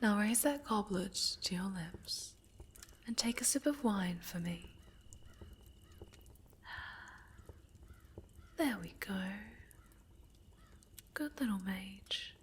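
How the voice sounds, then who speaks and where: A woman playing a haughty, sinister necromancer villainess in a soft-spoken ASMR roleplay, silky, condescending and mockingly sweet, often sinking to a whisper. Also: mid-range voice, animated, slight background noise. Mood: menacing, amused. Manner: condescending, silky, menacing, drawn-out.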